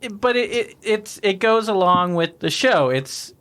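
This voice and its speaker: A man on a laid-back beer podcast talks in a slightly high voice, looping back over his words and laughing often as he chats with friends. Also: expressive, measured pace, fairly bright tone. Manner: rambling, restarts a lot and laughs often. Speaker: podcast co-host. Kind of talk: casual chat over beers.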